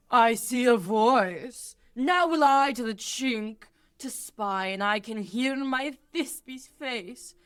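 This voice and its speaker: Woman as melodramatic young male lover for Shakespeare radio play; drawn-out, declamatory verse at a very slow pace. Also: a little high, lively intonation, smooth. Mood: ardent.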